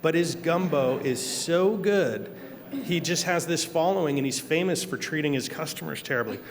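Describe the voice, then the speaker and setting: A man's voice: a little high, lively intonation, moderate pace, big-room sound. A man on a discussion panel, talking casually and telling anecdotes, laughing as he goes. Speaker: panelist, kitchen operator. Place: event hall.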